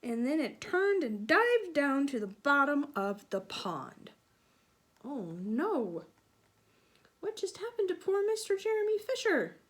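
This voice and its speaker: A woman's voice: sits high, slow pace. A woman reading a picture book aloud to young children, expressively, with asides and questions to her listeners. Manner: expressive, with sound-effect emphasis and questions to listeners.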